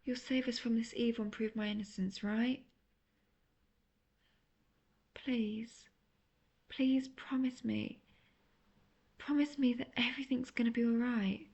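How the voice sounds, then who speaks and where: Female actor as scheming captive witch for fantasy audio drama: seductive, intimate, husky and breathy, with heavy vocal fry. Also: on the high side, fairly even intonation, a little slow, a little mellow, dull audio. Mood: pleading. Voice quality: breathy, often near-whispered. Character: manipulative, seductive imprisoned witch feigning innocent helplessness.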